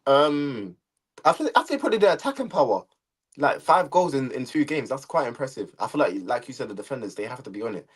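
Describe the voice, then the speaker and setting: A man joins a live football chat as a guest, his voice a little husky. He talks in a relaxed but opinionated way, like a fan arguing his case. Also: medium pitch, quick pace.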